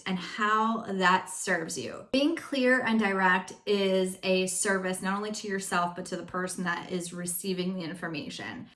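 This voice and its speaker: A woman's voice: lowish voice, fairly bright tone. A young woman with a Greek family background, a coach giving candid, chatty relationship advice to the camera. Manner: chatty and candid, with frequent fillers. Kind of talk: casual advice talk to camera. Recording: roomy echo.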